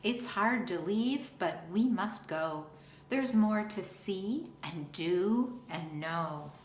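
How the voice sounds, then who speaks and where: Slow-paced woman reading to children, echoey room. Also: medium pitch, animated. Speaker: school teacher reading aloud. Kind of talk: children's picture book read-aloud. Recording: roomy echo, faint background noise.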